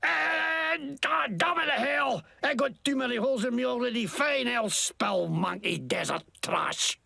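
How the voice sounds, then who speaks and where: An actor playing a crude, scheming wasteland mechanic who fakes a bad Scottish accent and rants with profane, jumpy indignation in a video game. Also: male voice, very high voice, measured pace, creaky, sharp tone, slightly rough, no room sound, exaggerated voice. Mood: indignant. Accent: put-on, badly faked Scottish. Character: crude scheming wasteland grease-monkey man faking Scottish. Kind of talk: video game character acting.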